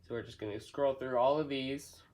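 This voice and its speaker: A man doing an unscripted product walkthrough, halting as he thinks aloud step by step. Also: medium pitch, steady tone, darkish tone. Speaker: hobbyist product reviewer. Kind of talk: unscripted unboxing and setup walkthrough.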